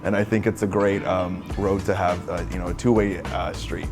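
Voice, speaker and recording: A man's voice: deep voice, little pitch movement, moderate pace, music in the background. A man in casual conversation, an actor talking earnestly, often stumbling and repeating words. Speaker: actor co-hosting a chat.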